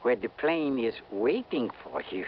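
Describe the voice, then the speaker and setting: An actor playing a sly, greedy French fixer who haggles with oily politeness in an old radio drama. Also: male voice, mid-range voice, lively intonation, unhurried. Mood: eager, oily. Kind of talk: 1950s radio drama acting. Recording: dull, slightly noisy vintage radio recording.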